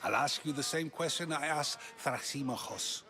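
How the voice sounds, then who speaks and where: An actor playing a playful ancient Greek philosopher in a video game, teasing and arguing through questions. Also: male voice, on the high side, normal speed, sharp tone. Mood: playful, probing. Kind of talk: dramatic video game dialogue.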